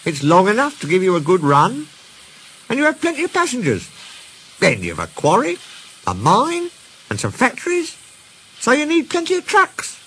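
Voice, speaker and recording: A man's voice: extremely high pitch, wildly swooping pitch, moderate pace, long pauses, a bit bright, a little hiss or hum, voice pushed up, heavily acted, low-quality recording. A man voicing a good-natured, wistful steam engine in a children's storybook, with an eager, sing-song delivery. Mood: admiring, eager.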